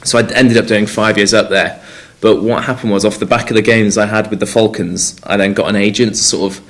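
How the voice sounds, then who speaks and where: Young North Wales man, rugby player at a presser, low-ish voice, halting and full of "you know". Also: fairly even intonation. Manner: hesitant, many fillers and restarts. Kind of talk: press conference interview.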